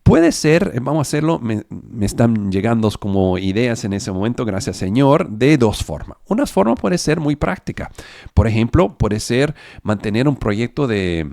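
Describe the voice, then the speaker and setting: Halting preacher, non-native Spanish speaker. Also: male voice, mid-range voice, sing-song, creaky, darkish tone, no room sound, studio quality. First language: not Spanish. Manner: halting, with fillers and self-corrections. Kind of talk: online sermon.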